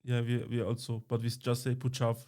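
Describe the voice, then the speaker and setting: A man hosting a casual interview, asking questions in simple, halting English. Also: mid-range voice, monotone, dark tone. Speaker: podcast host, interviewer.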